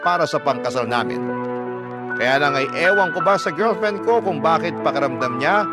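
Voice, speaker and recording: A man's voice: a little high, expressive, normal speed. A man narrating a confessional letter addressed to the host. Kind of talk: scripted narration. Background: music.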